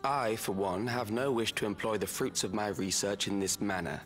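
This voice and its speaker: A man's voice: on the low side, fairly even intonation, normal speed, lightly acted. A man playing a cold, detached scientist villain in a video game cutscene, speaking with formal precision. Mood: cool, detached. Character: cold, calculating male scientist without flesh, a villain.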